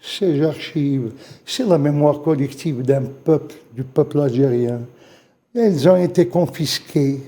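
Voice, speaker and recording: Older Algerian man, high dark voice. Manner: halting, repeats phrases, emotional storytelling. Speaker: witness guest. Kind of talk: spoken testimony in a studio interview.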